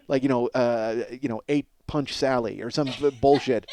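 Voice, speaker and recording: A man in his forties hosting a comedy podcast, rambling in an animated, profane monologue and often cutting himself off. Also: mid-range voice, moderate pace. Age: 40s. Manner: animated, emphatic, self-interrupting riffing.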